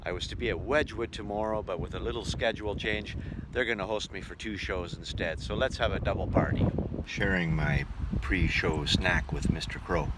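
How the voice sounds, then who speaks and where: A man's voice: low-pitched, moderate pace, very noisy. A man narrating a travel vlog as a touring entertainer.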